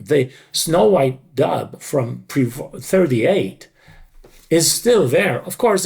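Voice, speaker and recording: A man's voice: medium pitch, darkish tone. An older man with a tired, untrained voice, explaining his craft emphatically in an interview and repeating words for stress. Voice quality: creaky, untrained, slightly tired voice. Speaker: dubbing director.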